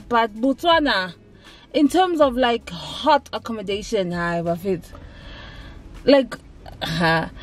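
A woman in her thirties with a South African accent, chatting casually and emphatically to camera in a car as a vlog host. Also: mid-range voice. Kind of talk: casual chat to camera with code-switching. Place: inside a car. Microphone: camera at dashboard distance.

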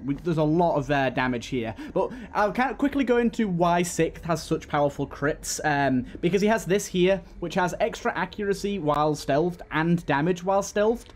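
A man giving casual gameplay commentary, thinking aloud through his tactics as he plays. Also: fairly high pitch. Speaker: gaming channel host. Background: game music.

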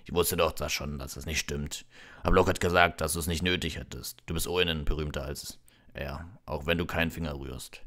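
A man's voice: extremely low pitch, fairly even intonation, a little fast, fairly bright tone. A man voicing a big, friendly groundskeeper in a storybook, folksy, hearty and teasing.

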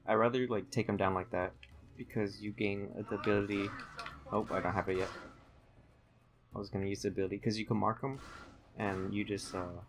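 A man's voice: on the low side, fairly even intonation, a little fast, pauses often, background noise. A young man giving casual, rambling, hesitant commentary as he hosts a gameplay video. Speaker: gaming video host.

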